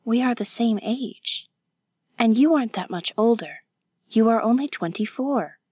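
A woman acts out an earnest, romantic young woman in a storybook novel. Her voice is lifted and soft, gently pleading, in a dry, close recording. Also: on the high side, animated, unhurried, husky, no room sound, pitched above the performer's own voice. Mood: earnest reproach.

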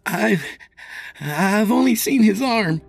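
A man voicing a terrified, pleading man cornered by a monster in a horror audiobook, desperate and halting. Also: sits high, lively intonation, vocal fry, faint music behind, voice pushed up, full character voice. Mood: frightened, urgent.

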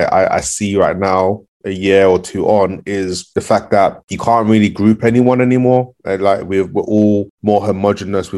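A young man with a low voice and a narrow, steady melody. He speaks as an interview guest, explaining things thoughtfully and conversationally. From Congo. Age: 20s.

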